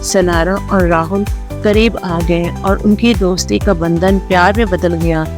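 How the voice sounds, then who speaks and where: A storyteller narrates a scripted children's fairy tale. The voice sits at a middling pitch. Music plays clearly underneath throughout. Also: steady tone, unhurried. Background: background music.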